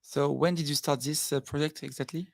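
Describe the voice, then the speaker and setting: A man hosting a podcast interview, friendly and halting, with many fillers. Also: on the high side, fairly even intonation, creaky, no room sound, clean studio sound. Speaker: podcast host.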